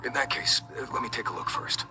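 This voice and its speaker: An actor playing a wary underground tinkerer and dealer in a video game, dry, sighing and matter-of-fact. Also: male voice, fast talker, lightly acted. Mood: dry, resigned. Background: loud game music.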